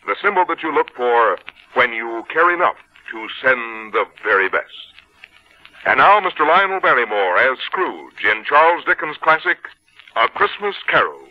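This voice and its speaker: A man's voice: medium pitch, sing-song, normal speed. A man doing formal, polished, scripted 1950s radio commercial announcing. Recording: muffled 1950s radio recording.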